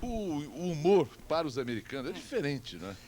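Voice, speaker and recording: A man's voice: a little high, sing-song, brisk, a little mellow, slight background noise. A man hosting a TV interview, prodding his guest with short questions and replies. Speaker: TV talk show host. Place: TV studio.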